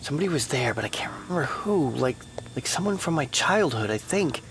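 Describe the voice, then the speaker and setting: An actor playing a thoughtful, skeptical male test subject in an audio drama, with a halting, pondering manner. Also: a little high, very animated, fairly bright tone, a little hiss or hum. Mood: pondering, puzzled. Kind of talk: naturalistic audio drama acting.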